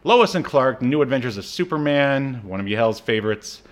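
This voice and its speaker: Male podcast co-host, mid-pitched voice, casual chat, jokey, chuckling, halting delivery. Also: expressive. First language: English.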